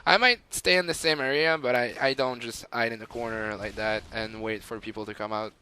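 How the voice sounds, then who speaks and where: A young man with a light Quebec French accent talks over his own gameplay. His voice is bright and forward, and he rambles with fillers and chuckles. There is a faint hiss under it. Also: medium pitch, steady tone, fairly quick. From Montreal, Canada. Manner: rambling and chatty, with frequent fillers and laughs. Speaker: gamer. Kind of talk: gameplay commentary.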